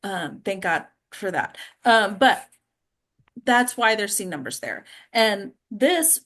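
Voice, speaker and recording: A woman's voice: medium pitch, big pitch swings, slow pace, a bit bright. Woman casually teaching a small class. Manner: conversational, peppered with check-in questions like "right?". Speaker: acting class teacher and host.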